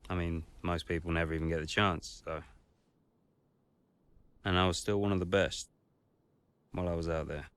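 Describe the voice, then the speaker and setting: An actor playing a weary but proud retired racing driver in a video game, halting and subdued. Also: male voice, low voice, little pitch movement, a bit bright, clean tone, dry recording. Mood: wistful, defiant.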